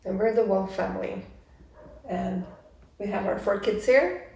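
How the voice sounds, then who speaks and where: Gravelly woman in her forties, roomy echo. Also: medium pitch, measured pace, dark tone, distant mic. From Norway. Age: 40s. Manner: searches for words, laughs easily. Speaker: family vlogger. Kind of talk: casual chat.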